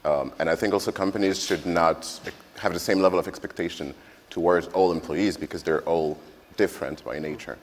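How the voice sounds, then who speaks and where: A man speaks as a panelist, measured and pausing on "um". His voice is very deep. A long hall reverb trails behind him. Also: steady tone, slight background noise. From France. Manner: measured, with "um" pauses. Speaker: panelist, manager. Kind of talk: panel discussion answers.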